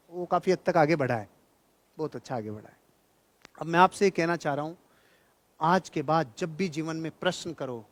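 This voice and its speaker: A man's voice: high-pitched, dry recording. A man speaking as a motivational trainer, emphatic and colloquial, repeating key phrases and telling stories in a joking way. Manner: jocular, colloquial storytelling. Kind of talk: motivational seminar speech with anecdotes and humour.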